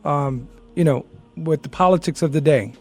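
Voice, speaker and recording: A man's voice: on the high side, moderate pace, faint music behind. A male radio co-host who eagerly cuts in with questions for the guest during a live interview.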